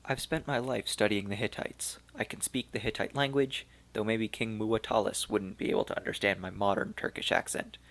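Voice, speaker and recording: A man voicing an elderly, weary archaeologist of Turco-German origin, speaking slowly and regretfully in a gravelly voice. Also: on the low side, fairly even intonation, fairly bright tone. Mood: resigned, fatherly. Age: older.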